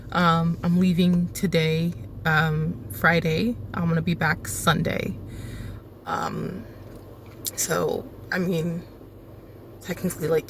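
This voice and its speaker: A woman's voice: on the low side, fairly bright tone, slight background noise. A woman in her twenties, sleep-deprived, talking casually to a phone camera as she vlogs from an airport terminal, rambling and chatty with lots of fillers. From South Florida. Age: 20s. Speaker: vlogger and night shift nurse. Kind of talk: casual vlog chat.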